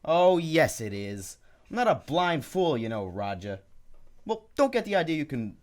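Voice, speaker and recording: A man's voice: fairly high pitch, big pitch swings, normal speed. A man playing a blustering, hot-tempered crooked stockbroker in an old-time radio drama. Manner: blustering, threatening.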